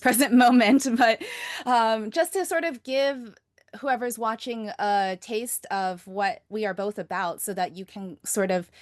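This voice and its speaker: A woman's voice: mid-range voice, no room sound, studio quality. A woman hosting a casual conversation, speaking in an earnest and reflective way. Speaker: host.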